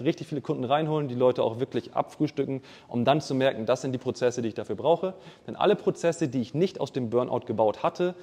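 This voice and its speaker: A man giving a live keynote on marketing funnels, delivering quick, punchy points full of business jargon. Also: mid-range voice, a little mellow. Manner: fast, punchy business jargon mixed with English terms. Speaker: keynote speaker. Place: event hall with a live audience. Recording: long hall reverb.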